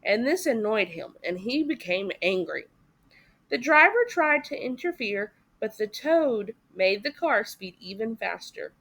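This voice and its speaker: A woman reading a story aloud as expressive narration for children. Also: a little high, unhurried. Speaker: story reader.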